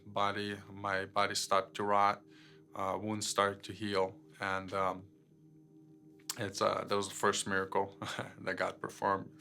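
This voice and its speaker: A young man from Ukraine telling his life testimony unscripted, halting with many fillers and repeated words. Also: fairly low pitch, bright, forceful tone. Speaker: guest sharing his testimony. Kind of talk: personal testimony, unscripted storytelling.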